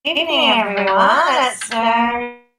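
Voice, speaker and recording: A woman hosting an event informally, pausing mid-phrase as she goes. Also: fairly high pitch, lively intonation, music in the background. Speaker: event host.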